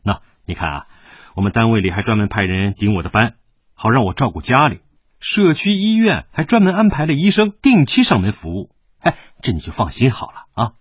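A man's voice: medium pitch, very animated, brisk, husky, no room sound, muffled recording. A male actor playing a proud, devoted working-class father in a radio drama, middle-aged and emphatic, torn between sternness and tenderness. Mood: coaxing. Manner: emotional, sighing, emphatic. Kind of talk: Chinese radio drama acting.

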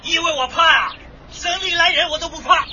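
Belligerent man shouting from afar, high-pitched. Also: fairly quick. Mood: defiant, taunting. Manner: taunting, combative. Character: belligerent, defiant male resident refusing a demolition order. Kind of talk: radio drama acting. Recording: muffled, distant, noisy outdoor crowd scene.

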